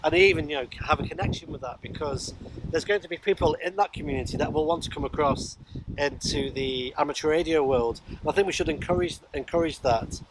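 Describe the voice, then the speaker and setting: Male vlogger, middle-aged, slightly raised pitch, rambling casual delivery, light outdoor noise. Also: a little fast. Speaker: amateur radio vlogger. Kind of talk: casual informal vlog talk. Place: windy high ground, in and around a parked car. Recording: windy outdoor noise, clicks.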